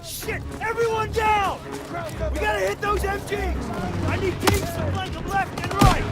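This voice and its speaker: An actor playing a commanding American army squad leader in a war game, barking urgent orders in an American accent. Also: male voice, extremely high pitch, steady tone, normal speed. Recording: battle game audio under loud music. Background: loud music, gunfire, vehicles.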